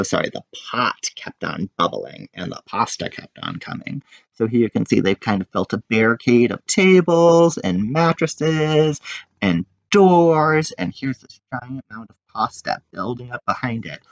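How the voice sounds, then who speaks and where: Animated man reading a children's picture book. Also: on the low side, creaky, no echo, lo-fi sound. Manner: expressive, informal asides on the pictures. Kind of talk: animated children's read-aloud.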